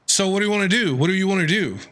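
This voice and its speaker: Bright, high-voiced excitable male streamer. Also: very fast. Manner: excitable, repeats phrases, talks back to characters. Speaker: game streamer. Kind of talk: casual live reaction commentary. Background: game music.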